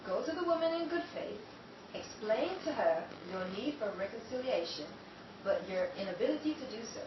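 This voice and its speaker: Woman as a high-pitched, haughty, conniving schemer. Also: expressive, brisk, lightly acted. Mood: sly. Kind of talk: theatrical audio drama acting. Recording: muffled, noisy, echoey distant recording.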